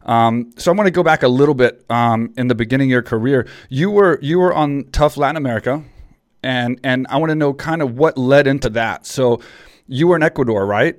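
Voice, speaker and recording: A man's voice: mid-range voice, dry recording. Easygoing male host, casual interview questions, dry room. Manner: laid-back, agreeable, quick to laugh.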